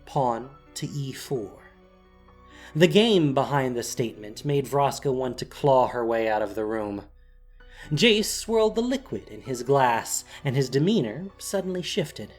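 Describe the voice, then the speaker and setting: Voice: medium pitch, big pitch swings, measured pace, faint music behind. A narrator reading a scripted, dramatic story for an audio drama.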